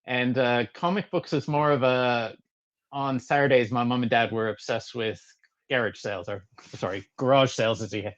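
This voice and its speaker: A man's voice: medium pitch, normal speed, fairly bright tone. A Canadian man, an animator and author, answering interview questions in an easygoing, modest way with many uh fillers. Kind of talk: casual interview talk. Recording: slightly dull, lo-fi sound.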